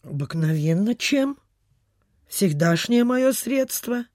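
A woman's voice: fairly high pitch, animated, slow pace, darkish tone, dry recording, clean studio sound. An actress playing a superstitious, chatty provincial landlady in a radio play, with sighing, gossipy, old-fashioned folksy speech. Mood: chatty, grateful. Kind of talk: Soviet radio theatre acting.

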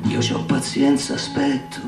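A singer with a Roman accent performing folk stornelli to guitar, delivering them slowly and drawn out. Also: mid-range voice, level tone. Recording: roomy echo, distant, loud guitar.

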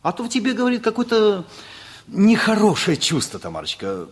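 A man's voice: high-pitched, wildly swooping pitch, brisk. An actor playing a principled, blunt family man who reasons insistently in a stage-style radio play. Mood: earnest, forceful.

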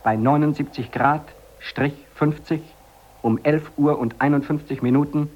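An actor playing a member of a rescue expedition, reading a formal, precise situation report in a 1950s German radio drama. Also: male voice, on the high side, steady tone, moderate pace, natural voice. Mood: formal, sober. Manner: official, precise. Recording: dull old radio recording, faint noise.

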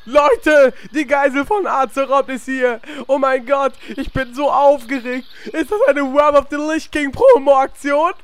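A man playing an excitable, starstruck gamer fan in a parody audio drama, talking in a casual, gushing way. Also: very high-pitched, a little slow, few pauses, no room sound. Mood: excited, starstruck.

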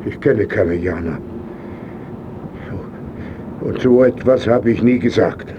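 An actor playing a proud, frail old painter in a radio drama, terse and suspicious. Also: male voice, on the low side, takes it slowly, lo-fi sound, background noise. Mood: indignant. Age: older. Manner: imperious, challenging, terse.

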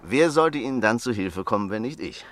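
A man's voice: medium pitch, lively intonation, brisk, lightly acted. A man playing a pushy jovial neighbor. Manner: insistent, overbearing, chuckling. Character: pushy jovial American neighbor, fifties, stocky. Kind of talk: radio play acting.

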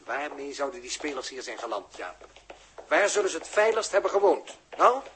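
A man's voice: a little high, very animated, fairly bright tone, slight background noise. A man acting a confident space crew leader, prodding and instructive, in a 1960s radio drama. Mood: insistent. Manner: brisk, instructive. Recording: old 1960s radio recording.

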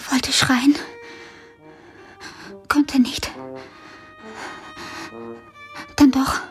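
A woman's voice: high-pitched, flat delivery, extremely slow, faint music behind. A woman playing an exhausted, sensitive young blind musician in a radio drama, with a plaintive delivery. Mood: weary, shaken.

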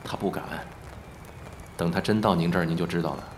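A man's voice: sits low, steady tone, fairly quick, slight background noise, natural voice. A man playing a laid-back, teasing film star who is fond of his juniors, in a modern audio drama. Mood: relaxed, fond. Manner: teasing, casual, playfully breezy.

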